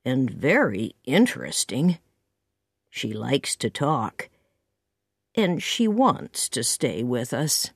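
A woman narrator acts out a soft-hearted, quiet farmer in a storybook. He talks in a lowered voice, very slowly and hesitantly, with a gentle, shy temper. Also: very animated, dry recording, studio quality, full character voice.

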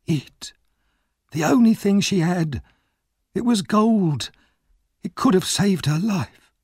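Guy doing a frail old woman on her deathbed, husky and cracked. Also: sits high, lively intonation, slow pace, a little mellow, no room sound, clean studio sound, voice pushed up, exaggerated voice. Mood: guilty, urgent. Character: dying old woman, frail and guilt-ridden.

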